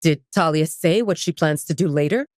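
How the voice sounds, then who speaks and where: A woman's voice: low voice, moderate pace, darkish tone, dry recording, clean studio sound, close to an everyday voice. A woman voicing a protective, brooding male leader with a terse, questioning manner in a romance audio drama. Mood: guarded.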